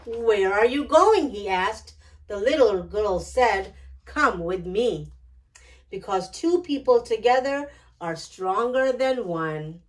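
A middle-aged woman hosting storytime, reading a picture book aloud in an expressive, deliberate way. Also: medium pitch, rough recording. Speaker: children's storytime host. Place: small decorated indoor storytime set.

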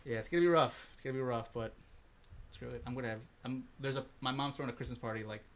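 A man's voice: medium pitch, fast, a bit bright. A man in his mid twenties, co-hosting a casual podcast chat in a small studio and joking around with his co-hosts. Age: 20s. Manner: joking, teasing banter. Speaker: co-host. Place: small college radio studio. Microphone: studio mic on a stand, headphones.

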